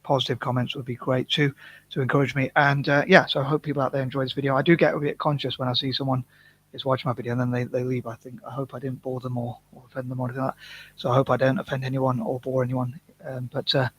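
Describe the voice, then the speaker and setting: A man talking in a rambling, self-conscious vlog monologue, from the UK, monotone and full of restarts and fillers. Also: mid-range voice, quick pace, breathy edge, poor audio. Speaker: vlogger.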